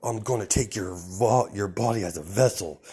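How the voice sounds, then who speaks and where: A man's voice: on the low side, moderate pace, mellow, breathy edge. A man voicing a menacing sludge monster villain in a fan-fiction story, speaking in blunt threats.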